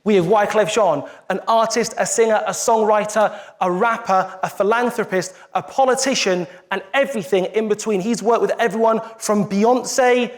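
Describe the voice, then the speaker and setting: A man hosting a live stage event, presenting with energetic, emphatic build-ups. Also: high-pitched, moderate pace, few pauses. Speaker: event host.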